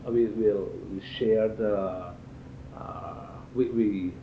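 An older man from Israel giving reflective interview answers, haltingly, repeating phrases as he searches for words. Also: steady tone. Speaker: interviewee, cello teacher and performer. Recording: echoey, tinny band-limited sound with faint rumble.

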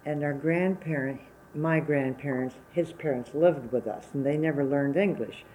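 A woman's voice: low voice, moderate pace, warm tone, a little hiss or hum, rough recording. A woman in her seventies from Wisconsin whose first language was Czech, recalling her working life plainly and unhurriedly in an oral history interview. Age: 70s.

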